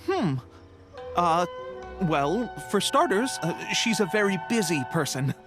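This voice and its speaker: A man's voice: high voice, big pitch swings, over music, full character voice. A man voicing a polite, chatty bookshop clerk in an English anime game, helpful and deferential, with a hesitant conversational manner. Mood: hesitant, explanatory. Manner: hesitant, conversational, deferential, many hums and pauses.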